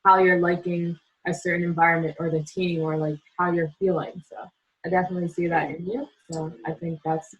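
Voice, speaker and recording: Low-voiced woman co-hosting, dull sound. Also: flat delivery, brisk. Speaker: former Olympian basketball player, co-host. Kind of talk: casual interview questions.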